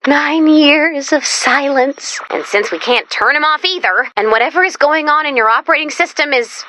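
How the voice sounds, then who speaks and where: A woman's voice: sits high, pushed and bright, no room sound. A woman voicing a perky, nervous, geeky female robot assistant in a video game, chatty, quirky and easily flustered. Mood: rueful. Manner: flustered, quirky, halting then gushing. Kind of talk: animated video game character voice lines.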